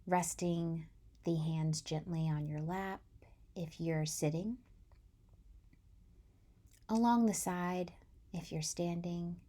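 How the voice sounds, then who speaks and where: Slow, mellow woman guiding meditation. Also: fairly low pitch. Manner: gentle, unhurried, soothing delivery with long pauses. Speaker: meditation guide. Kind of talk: guided body-scan meditation.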